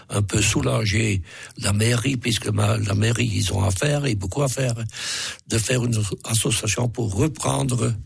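A man's voice: on the low side, creaky, husky, no room sound. A man with a cold, a radio interview guest who speaks hesitantly with many restarts. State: has a cold. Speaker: association president, food truck owner.

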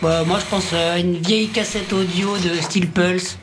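A man's voice: sits high, fairly even intonation, a little slow, music in the background. A man, a reggae artist, recalling his start in a reflective, casual way. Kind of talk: casual interview answer.

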